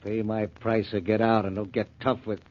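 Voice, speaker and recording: Low-voiced crooked shopkeeper played by an actor. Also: male voice, flat delivery, normal speed, close to an everyday voice. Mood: cold, stubborn. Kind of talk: 1940s radio drama acting. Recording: muffled, noisy 1940s radio broadcast.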